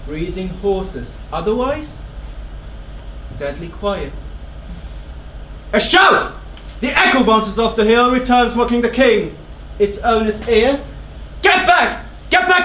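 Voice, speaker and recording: Man acting a high-voiced, fervent tragic courtier. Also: fairly bright tone, full character voice. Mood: tense, vivid, then frantic, intense. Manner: declamatory, deliberate, dramatic pauses. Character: grim, fervent man of the royal court, vivid orator. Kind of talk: theatrical stage tragedy acting. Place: theatre stage. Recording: distant, echoey theatre stage, background noise.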